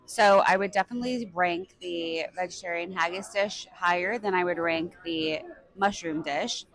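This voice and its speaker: A woman's voice: lowish voice, fairly bright tone. A woman from Wales, chatting casually to camera as a travel vlogger and giving candid reviews. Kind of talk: casual vlog talk to camera. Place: restaurant, then outdoors in the street. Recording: crowd noise behind.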